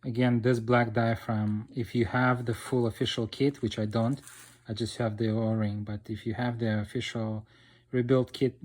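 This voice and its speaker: A man's voice: lowish voice, a little mellow. Man giving a hands-on repair tutorial. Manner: explanatory, checks in with "okay" and "right". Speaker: DIY motorcycle repair instructor. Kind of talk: informal hands-on tutorial narration.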